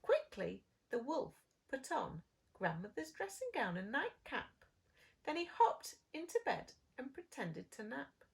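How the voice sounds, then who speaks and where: High, slow, expressive woman storyteller. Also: vocal fry, husky. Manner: dramatic, drawn-out phrasing with hushed moments. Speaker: preschool storyteller. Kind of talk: expressive storybook reading for young children.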